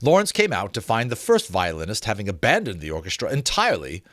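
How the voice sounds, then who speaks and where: A man reading a novel aloud as an expressive, dramatic narrator. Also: fairly low pitch, fast talker, dry recording, studio quality. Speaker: audiobook reader.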